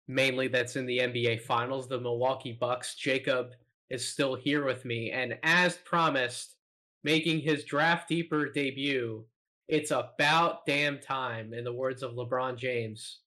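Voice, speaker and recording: A man hosting a sports podcast, his voice bright and forward. He talks in an upbeat, casual way and stumbles over repeated words when he restarts. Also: medium pitch. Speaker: podcast host.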